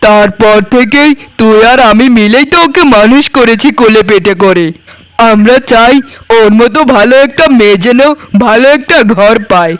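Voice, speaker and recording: Man playing doting cartoon farmer brother: strikingly high voice, clean and smooth, earnest, affectionate. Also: moderate pace, a bit bright, no echo, rough recording. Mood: devoted, earnest. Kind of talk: village moral-story cartoon acting.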